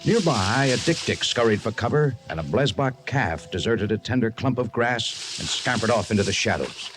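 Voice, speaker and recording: A man's voice: medium pitch, animated, normal speed, natural voice. A man playing the storyteller of a 1950s radio jungle adventure, literary and suspenseful. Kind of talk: 1950s radio drama narration. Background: dramatic music.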